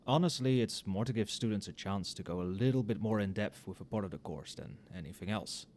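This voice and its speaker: A man playing an overworked magic professor in a casual, soft-spoken ASMR roleplay, muttering with dry sarcasm. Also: lowish voice, a little fast, a little hiss or hum, lightly acted. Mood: friendly, confiding. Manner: casual, muttering, dryly sardonic. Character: wry, overworked male magic professor grading papers late at night.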